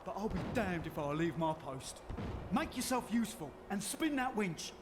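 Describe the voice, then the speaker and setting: A stubborn soldier from a fantasy game. He is exasperated and blunt, with a high voice. Loud ambient noise surrounds him. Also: big pitch swings, normal speed. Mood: exasperated defiance. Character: irritable, stubborn, loyal male soldier. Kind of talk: video game character acting.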